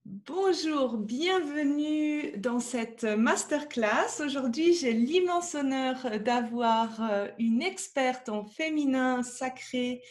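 Brief: high-pitched woman, welcoming host of a live webcam masterclass. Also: a little mellow. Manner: welcoming, introduces the guest and greets viewers by name. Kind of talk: hosting a live video session. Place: home room. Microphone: webcam on a video call.

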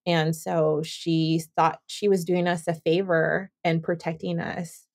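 A woman's voice: low voice, little pitch movement, slow pace, creaky. A woman from Southern California, hosting a podcast and chatting in a rambling, thinking-aloud way. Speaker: podcast host. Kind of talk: casual interview chat.

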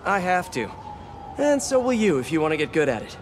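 A man's voice: a little high, big pitch swings, a little fast, slight background noise. An actor with an American accent playing a good-natured, wisecracking young male superhero in a video game. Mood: easygoing, mentoring. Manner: wisecracking, conversational.

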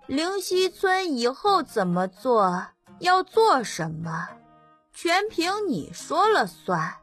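A man voicing a young boy emperor in an audiobook, commanding, with slow, measured, drawn-out delivery. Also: very high voice, big pitch swings, a bit bright, faint music behind, pitched above the performer's own voice, heavily acted. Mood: calm, commanding.

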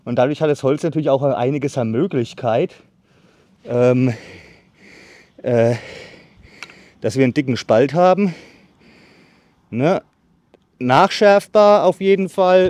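A man in his thirties with a somewhat high voice chats his way through a tool demo in an open meadow, a little winded from sawing. His talk is loose and full of fillers, recorded by a camera set back from him, with a dry sound. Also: darkish tone, no echo. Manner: chatty, filler-heavy, pauses while sawing. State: slightly out of breath from sawing. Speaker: bushcraft channel host. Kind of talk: casual product demo. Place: outdoors in a leafy meadow by trees. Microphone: far camera on a tripod.

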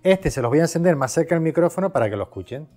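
A man's voice: fairly high pitch, animated, racing, a little mellow, soft background music. A man from Spain giving a casual, conversational product review, explaining things by asking and answering his own questions. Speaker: video host, product reviewer.

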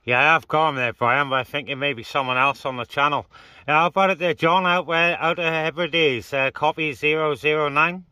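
Bright-voiced man, laid-back outdoors. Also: a little high, steady tone, a little fast. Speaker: host, CB radio operator. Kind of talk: casual outdoor vlog and radio chat. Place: outdoors on open moorland by car boot.

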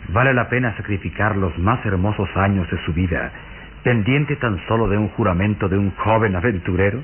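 An actor playing a pale, suave, scheming count in an old radio serial, courtly and insinuating in manner. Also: male voice, on the low side, brisk, natural voice. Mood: seductive, intense. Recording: muffled, hissy old radio serial recording.

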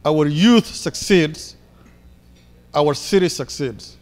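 A man in his thirties from Somalia with a Somali accent, giving a halting speech with many fillers at a ceremony, speaking into a handheld mic. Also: fairly high pitch. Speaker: board member giving a speech. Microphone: handheld microphone. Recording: echoey hall reverb.

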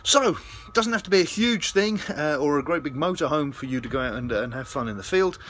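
Casting: British man, middle-aged, lively slightly high voice, casual rambling salesman vlogging on a phone with noticeable ambient noise. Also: brisk. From United Kingdom. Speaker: RV salesman presenting a vlog. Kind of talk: casual walk-through product pitch to camera. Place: RV sales lot, outdoors and inside trailers. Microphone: phone at arm's length. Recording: handheld recording with clear ambient noise.

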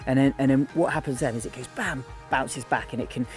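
A man's voice: mid-range voice, brisk, a little mellow. Man, outdoor fishing coach, repeats instructions urgently, clip-on mic, music underneath. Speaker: fishing guide. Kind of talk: on-the-spot coaching. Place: outdoors by a lake.

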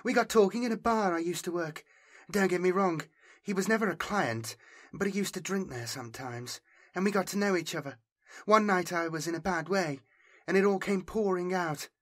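A man's voice: high voice, moderate pace, a bit bright, pitched above the performer's own voice. A man voicing a distressed, defiant young woman refugee in an audiobook, speaking urgently in clipped phrases. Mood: bitter, confiding.

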